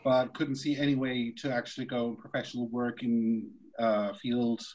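A man from Switzerland, a podcast guest, telling the story of his career in a relaxed, rambling way. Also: medium pitch, moderate pace, lo-fi sound. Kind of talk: conversational storytelling.

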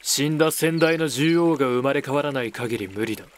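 A man playing an aloof, gruff male cat beastman in a voice drama, curt, haughty and dismissive. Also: mid-range voice, fairly quick, a little hiss or hum, lightly acted. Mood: scornful. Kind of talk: voice drama acting.

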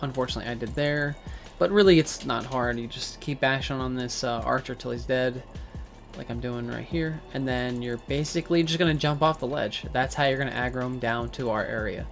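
A man giving a relaxed, casual walkthrough of a game over gameplay, with frequent fillers. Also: medium pitch, fairly quick, gravelly, faint music behind. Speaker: gaming guide creator.

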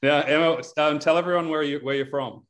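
A man's voice: on the high side, steady tone, a little fast, fairly bright tone. A man in his thirties interviewing a guest over a video call, chatty with lots of fillers and laughs. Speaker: interviewer, recovery program coach. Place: indoor room.

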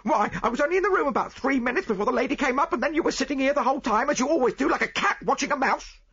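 A man reading aloud voices a young English chambermaid who answers back in a shrill, quarrelsome way. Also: animated, very fast, sharp tone, breathy edge, dull audio, heavily acted. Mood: indignant.

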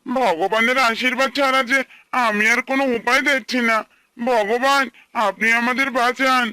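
A man's voice: very high-pitched, fairly even intonation, moderate pace, bright, forceful tone, smooth, no echo, exaggerated voice. A man voicing an earnest, plaintive, humble farmer and worried husband in a cartoon. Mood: worried, pleading. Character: anxious humble young farmer and husband, pleading. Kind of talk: Bengali cartoon dialogue dubbing.

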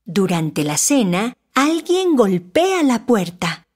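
A woman narrating a scripted children's story in an expressive, deliberate storytelling manner. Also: a little high.

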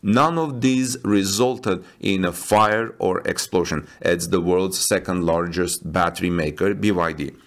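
A man with a somewhat low voice reads tech news as a voice-over. He breaks off into casual asides, stumbling over fillers and restarting his sentences. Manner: halting, with frequent fillers and restarts. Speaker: tech news channel host. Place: voice-over over stock footage.

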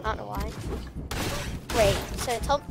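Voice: medium pitch, unhurried, vocal fry, over loud music. A child chatting casually with another player during a game.

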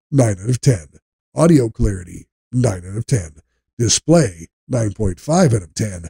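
Gravelly-edged man narrating a product review. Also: medium pitch, warm tone, no echo.

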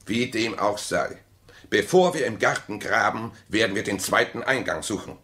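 An actor playing a defensive, distrustful husband in a bitter marriage, dry, pedantic and argumentative, in a radio play. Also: male voice, lowish voice, normal speed, pushed and bright, slightly rough, heavily acted.

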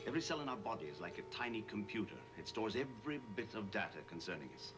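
A man acting a calm, persuasive, intense scientist doctor in a 1970s television drama, speaking earnestly and deliberately. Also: mid-range voice. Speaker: actor in a television film. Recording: distant old TV film sound.

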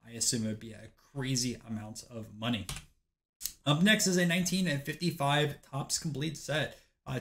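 Male host, casual voice-over, relaxed delivery with filler words, middle pitch. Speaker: card collecting channel host. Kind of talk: casual narrated card rundown.

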